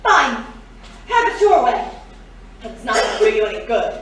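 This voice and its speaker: A woman's voice: on the high side, sing-song, normal speed, fairly bright tone. An actress playing a jealous, scheming woman in a period noir radio drama, dramatic and pleading. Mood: bitter. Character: desperate scheming jealous woman, old flame of a crook. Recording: roomy, distant, faint background noise.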